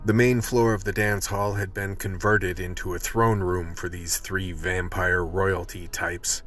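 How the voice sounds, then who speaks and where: Hard-boiled cop narrator played by a man: low, weary, measured, radio play with faint music underneath. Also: steady tone, fairly bright tone. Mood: grim, measured. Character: world-weary male undercover vice cop telling his story.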